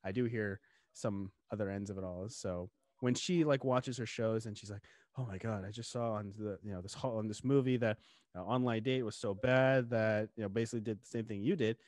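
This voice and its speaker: Animated young man hosting a podcast. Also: lowish voice, fairly quick, no room sound. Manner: animated, talks with his hands. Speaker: podcast host. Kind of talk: casual interview chat. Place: home studio room. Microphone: studio mic on boom arm, headphones.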